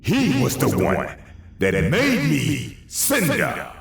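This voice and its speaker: An actor in an audio drama playing a vengeful, embittered burned man turned monster, speaking in a slow, menacing, deliberate way. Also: mid-range voice, huge pitch swings, vocal fry, heavily acted. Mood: bitter, impassioned. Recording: very echoey, distant, faintly noisy.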